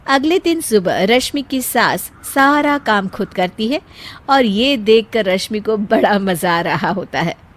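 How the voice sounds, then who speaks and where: A woman's voice: mid-range voice, lively intonation, a little slow. A woman narrating a scripted cartoon story as a storyteller.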